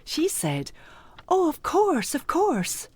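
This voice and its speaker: A woman's voice: sits high, huge pitch swings, slow pace, darkish tone, full character voice. A woman playing an anxious, deferential housekeeper in a gothic audio drama, hesitant and questioning in manner. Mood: meek concern.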